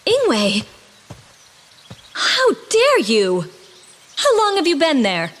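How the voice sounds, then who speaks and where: An actress playing a sorrowful, dignified young royal in a fantasy game, earnest and formal. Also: female voice, high-pitched, wildly swooping pitch, extremely slow, fairly bright tone, long reverb tail, heavily acted. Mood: indignant. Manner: earnest, formal, archaic phrasing. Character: sorrowful, dignified young royal woman, gentle but resolute. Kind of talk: theatrical fantasy game drama.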